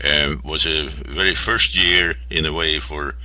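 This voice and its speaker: Very deep-voiced male radio host, halting. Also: steady tone, bright, forceful tone, low-quality recording. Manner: halting, rambling and polite. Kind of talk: informal radio interview.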